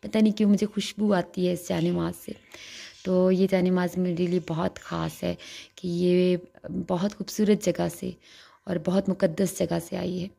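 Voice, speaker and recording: A young woman talks chattily to her viewers as friends, narrating her day at home into a phone. Her voice is mellow, with a gravelly, husky edge from a sore throat. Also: lowish voice, flat delivery, moderate pace. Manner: chatty, warm-hearted, addressing viewers as friends. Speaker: vlogger. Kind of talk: casual home vlog voice-over. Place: home, indoors.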